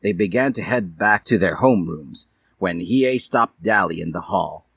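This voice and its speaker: Male storyteller, husky and animated, mock-earnest theatrical delivery of amateur fiction, close dry sound. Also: low-pitched, moderate pace, dry recording. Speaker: reader of fan fiction. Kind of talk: theatrical storybook narration read aloud.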